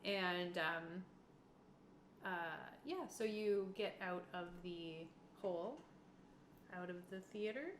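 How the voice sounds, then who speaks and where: A woman's voice: lowish voice, takes it slowly. A woman casually narrating a tabletop roleplaying game as the game master. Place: indoor room. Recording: echoey room with faint noise.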